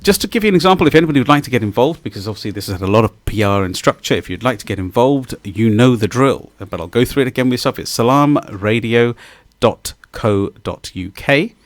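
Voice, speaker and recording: Effusive male radio host, lively rising-falling delivery, dry close studio mic. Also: medium pitch, fast talker, no echo. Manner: effusive, rambling, lively intonation. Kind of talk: live radio interview.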